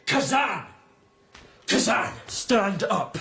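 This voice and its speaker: A man's voice: high-pitched, very animated, very slow, gravelly, pushed and bright, full character voice. An actor in a radio drama playing a hot-tempered, commanding nobleman, imperious and declamatory. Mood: furious.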